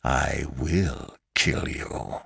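A man voicing a murderous, desperate walking corpse in a storybook reading, full of hate, with slow growled threats that can break into screams. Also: a bass voice, vocal fry, a bit bright, breathy edge, no room sound, clean studio sound, pitched below the performer's own voice, exaggerated voice. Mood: menacing. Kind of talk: dramatic storybook narration.

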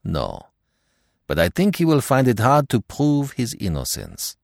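A man's voice: mid-range voice, huge pitch swings, unhurried, vocal fry, a little mellow, no echo, pitched above the performer's own voice, heavily acted. Shrewd Belgian detective done by a male narrator, courteous, precise, self-assured, varied intonation, close dry sound. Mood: grave, quiet.

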